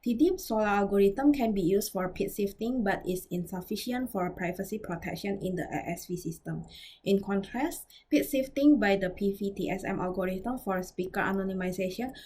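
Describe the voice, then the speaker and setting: A woman giving a scripted academic presentation as a researcher, reading formally and carefully with slightly halting phrasing. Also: medium pitch, darkish tone, breathy edge.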